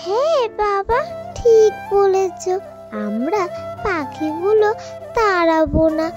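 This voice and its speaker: A woman plays a kind little cartoon girl. Her voice is high and lilting, and music plays behind it. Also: lively intonation, slow, exaggerated voice. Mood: gentle. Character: tender-hearted young daughter who loves birds. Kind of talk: children's cartoon storytelling.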